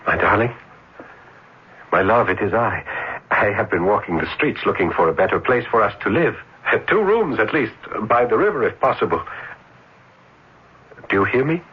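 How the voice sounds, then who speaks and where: An actor playing an ardent, lovestruck young student in florid, earnest old radio drama style. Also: male voice, lowish voice, animated, slightly rough. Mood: tender coaxing. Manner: earnest, florid, halting declarations. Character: ardent, lovestruck young male student, sentimental and high-strung. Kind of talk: old radio drama acting with first-person narration. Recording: dull, noisy old radio broadcast.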